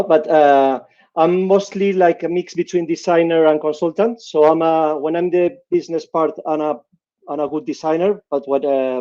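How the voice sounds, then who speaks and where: A man in his fifties with a Spanish accent and a fairly high but mellow voice, his sound coming through dull, lo-fi call audio. He restarts his phrases often and keeps checking in with a questioning "no?". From Spain. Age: 50s. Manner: hesitant, repeats words, tags sentences with "no?". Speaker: panelist.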